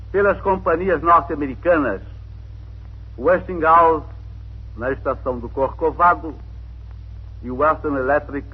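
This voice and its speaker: Very raspy man, thin archival sound. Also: fairly high pitch. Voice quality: husky, raspy. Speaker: speaker in radio documentary. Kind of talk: formal historical account. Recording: thin, phone-like archival radio recording.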